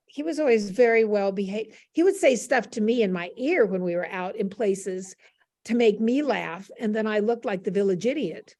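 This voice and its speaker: Chatty, playful older woman telling anecdotes. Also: mid-range voice. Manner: chatty, playful, self-deprecating. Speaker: panel guest, university professor. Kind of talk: casual anecdotal storytelling. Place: classroom. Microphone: video call.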